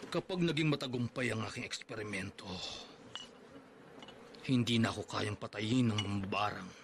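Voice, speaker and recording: A man's voice: lowish voice, normal speed, a little hiss or hum, lightly acted. An actor playing a grieving father and entomologist who plots revenge in a radio drama, brooding, with sinister chuckles. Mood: scheming.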